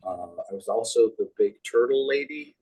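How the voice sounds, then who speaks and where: A man with a deep voice, chatting casually as an interview guest and reminiscing in an animated storytelling way. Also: normal speed, poor audio. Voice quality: deep, husky. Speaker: guest, puppeteer.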